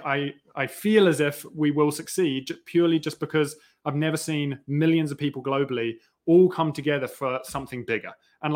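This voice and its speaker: A man's voice: medium pitch, fairly bright tone. A man from New Zealand with a New Zealand accent, a podcast guest speaking earnestly and enthusiastically. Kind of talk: casual podcast chat.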